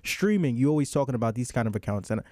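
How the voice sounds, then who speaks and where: A man hosts a relaxed chat with a mellow, dark voice. He talks in an easygoing way and sometimes reads text aloud. The sound is dry and close. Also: medium pitch, fast talker, no room sound. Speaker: podcast host. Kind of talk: casual chat.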